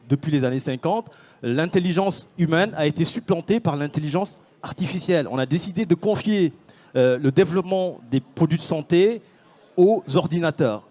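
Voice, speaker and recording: A man's voice: a little high, warm tone. A man from Senegal, a startup founder, speaking on a conference panel with many fillers and restarts. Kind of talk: panel talk.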